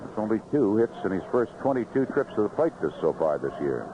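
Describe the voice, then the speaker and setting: Man, American accent, radio baseball play-by-play, very lively pitch swings, dull lo-fi sound, clear background noise. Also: fairly quick. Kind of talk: live radio play-by-play commentary. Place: ballpark broadcast booth. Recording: noisy, muffled vintage AM radio broadcast.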